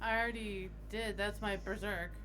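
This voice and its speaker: A woman's voice: medium pitch, fairly even intonation, normal speed, fairly bright tone, soft background music. A woman playing a tabletop game, chatting casually and joking in a playful, unfiltered way.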